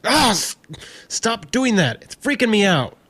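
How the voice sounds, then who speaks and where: A man's voice: high-pitched, big pitch swings, a little slow, a bit bright, husky, full character voice. A man playing an overworked, irritable and suspicious lab worker in an audio drama, in a casual, questioning manner. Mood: alarmed. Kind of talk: naturalistic audio drama acting.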